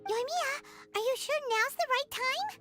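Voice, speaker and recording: A woman voicing a tiny, childlike floating fairy companion in a video game, excitable and timid, with a squeaky voice. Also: normal speed. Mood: wary. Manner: refers to herself in third person, exclamatory. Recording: loud game music over the voice.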